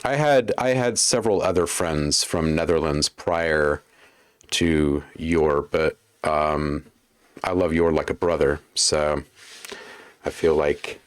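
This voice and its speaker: Deep-voiced laid-back beatmaker man. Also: slow, dry recording, clean studio sound. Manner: thinks aloud while working, chatty with viewers. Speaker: livestream host and music producer. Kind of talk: casual livestream chat while making beats.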